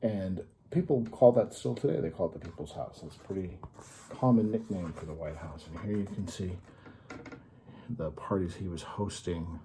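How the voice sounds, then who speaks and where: American male storyteller, low-pitched and very mellow, reading to kids with explanatory asides. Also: a little hiss or hum. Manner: explanatory, pausing to add simple clarifications. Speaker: storyteller reading a children's history book aloud. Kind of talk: read-aloud storytelling with informal asides to young listeners.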